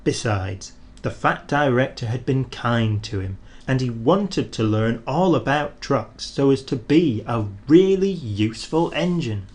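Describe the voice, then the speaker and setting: A man reading a children's storybook aloud in a gentle, expressive narrating voice. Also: medium pitch, unhurried, hardly any pauses.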